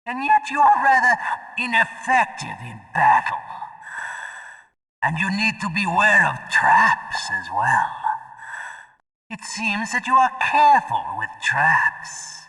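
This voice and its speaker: Theatrical psychic villain, wildly swooping pitch. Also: male voice, huge pitch swings, vocal fry, long reverb tail, heavily acted, rough recording. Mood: taunting, probing. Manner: theatrical, drawn-out, swooping from loud cries to whispers. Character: menacing male psychic villain, theatrical and taunting mind reader. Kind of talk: video game voice acting.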